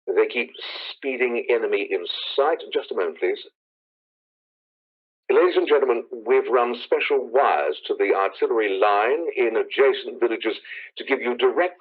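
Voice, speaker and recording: A man's voice: medium pitch, breathy edge, close to an everyday voice. A man playing a grave radio news announcer in a 1930s-style play, formally reading emergency bulletins. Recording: tinny, phone-like radio broadcast sound.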